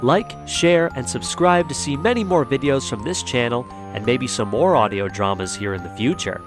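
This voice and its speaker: A man's voice: mid-range voice, lively intonation, moderate pace, music in the background. A man narrating an audio drama in a theatrical, playful and slightly grandiose storytelling style.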